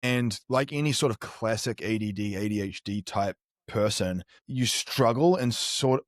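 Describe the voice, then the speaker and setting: A man's voice: lowish voice, measured pace, dry recording. A middle-aged man with a New Zealand accent, telling his life story as a podcast guest in an earnest, reflective way. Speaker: performance strategist guest. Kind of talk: candid podcast interview.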